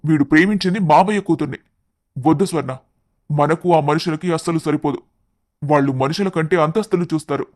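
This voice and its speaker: A man's voice: a little high, a little fast, natural voice. A man playing a proud, resentful elder brother in a story, speaking indignantly. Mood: angry, bitter.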